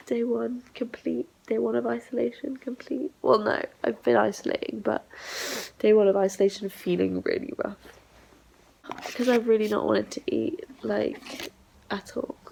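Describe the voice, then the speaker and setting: Young woman, congested and unwell, home diary vlog; slightly high voice with heavy vocal fry. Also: fairly even intonation, unhurried, darkish tone, poor audio. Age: young adult. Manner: rambling, half-whispered, low-energy talk. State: sick, congested and hoarse. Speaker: vlogger. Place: flat, indoors.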